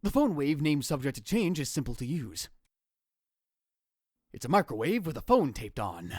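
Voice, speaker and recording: A man's voice: fairly high pitch, normal speed, husky, no echo, clean studio sound. A man voicing a dramatic young self-proclaimed mad scientist in a visual novel fan dub, theatrical and grandiose in manner. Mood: musing, narrating. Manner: theatrical, grandiose, swinging into excited exclamations. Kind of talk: visual novel fan dub, first-person narration and dialogue. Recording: music behind the voice. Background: music.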